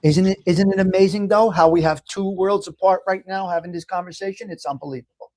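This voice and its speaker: A man's voice: high-pitched, steady tone, brisk, a little mellow, slight background noise. Emphatic New York man hosting a live show. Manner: emphatic, repeats phrases, frequent restarts. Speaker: livestream host. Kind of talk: casual live chat, reading viewer comments aloud.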